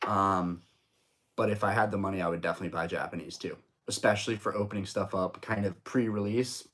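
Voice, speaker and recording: A man's voice: low-pitched, steady tone, fairly quick, a bit bright. Rambling young man on a live stream. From United States. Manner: chatty, rambling, with many fillers and restarts. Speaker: streamer, host of a card-collecting channel. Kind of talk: casual live stream chat. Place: home room.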